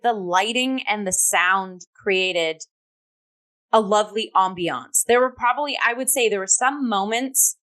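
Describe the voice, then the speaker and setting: A woman's voice: medium pitch, fairly bright tone. Effusive woman chatting through a theatre review. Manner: effusive, halting, heavy use of "like". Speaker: co-host of theatre review talk show. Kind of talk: casual review chat.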